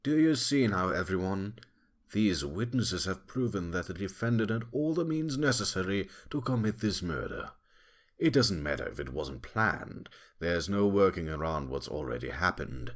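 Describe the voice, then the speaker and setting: A man's voice: low-pitched, lively intonation, normal speed. A man playing a stern, sly rat prosecutor in an audio drama, formal and haughty with a threatening edge. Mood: smug, insistent. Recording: loud music under the voice.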